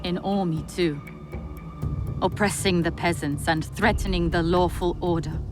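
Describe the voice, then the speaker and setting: An actress playing a gracious, composed Japanese noblewoman and widow in a video game, speaking formally and with measured care. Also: lowish voice, fairly even intonation, close to an everyday voice. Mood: grave, determined. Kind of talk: video game cutscene acting. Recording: loud music behind the voice. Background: music.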